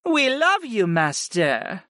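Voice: mid-range voice, wildly swooping pitch, slow, bright, forceful tone, no room sound, studio quality, pitched above the performer's own voice, full character voice. A narrator voicing female goddesses in a fantasy audiobook, murmuring, coaxing and pouting in a sultry way.

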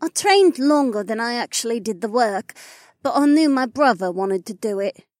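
A woman performs a devoted, earnest spirit maiden in a fantasy story. The voice is high and moves around animatedly in pitch, recorded close and dry. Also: expressive, moderate pace, dry recording, natural voice. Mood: reflective.

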